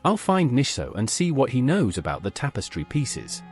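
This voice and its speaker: A man's voice: mid-range voice, huge pitch swings, moderate pace, a little mellow, husky. A synthetic man's voice as a game's player character, asking plain, direct questions. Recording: game music underneath. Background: game music.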